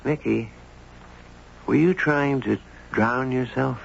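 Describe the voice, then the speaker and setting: An actor playing an earnest, gentle writer who narrates a 1970s radio drama in a measured, reflective way. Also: male voice, mid-range voice, gravelly. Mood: gently probing. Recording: muffled, noisy old radio recording.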